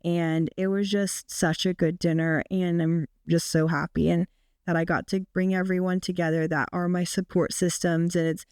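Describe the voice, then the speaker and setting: Hoarse, raspy young woman podcaster. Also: level tone, moderate pace, darkish tone, no echo. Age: 20s. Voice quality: raspy, deep. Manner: chatty, many fillers. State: sick, hoarse. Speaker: podcast host. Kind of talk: casual solo podcast chat.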